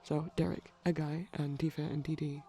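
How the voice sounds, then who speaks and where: A young adult performer playing a shy, nerdy boyfriend in a casual, soft-spoken ASMR ramble, enthusiastic but hesitant. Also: mid-range voice, little pitch movement, normal speed, faint music behind.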